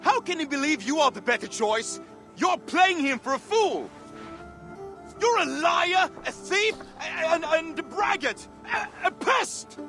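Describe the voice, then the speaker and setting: A man's voice: extremely high pitch, expressive, a little slow, pushed and bright, soft background music, heavily acted. A man playing a boastful, sneering Viking navigator in a video game, with a pompous, taunting delivery. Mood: flustered anger. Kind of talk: dramatic video game acting.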